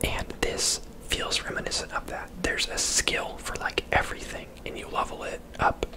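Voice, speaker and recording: A person giving casual, unhurried ASMR gaming commentary in a soft, breathy whisper close to the microphone.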